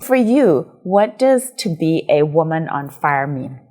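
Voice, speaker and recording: A woman's voice: deep voice, big pitch swings, unhurried, a little mellow. A young woman from Zimbabwe who speaks Shona as her first language, hosting an interview show and affirming her guest in long, emphatic questions. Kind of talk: warm encouraging interview and channel outro.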